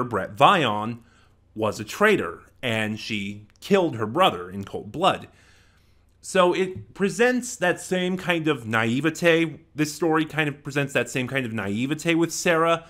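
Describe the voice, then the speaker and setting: A man in his twenties with a bright, forceful voice at a middling pitch talks through a review without a script. He halts to think aloud and often corrects himself. He speaks into a desk microphone in a small room at home. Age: 20s. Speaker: audio drama reviewer. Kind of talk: unscripted review to camera. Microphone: desk microphone close in front.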